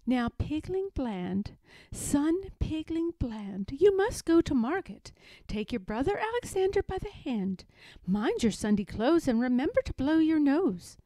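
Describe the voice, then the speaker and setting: A woman's voice: high-pitched, big pitch swings, unhurried, dark tone, no echo, exaggerated voice, rough recording. A woman voicing a fussy, sighing mother sow who instructs and admonishes in a storybook read-aloud. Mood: solemn admonishing.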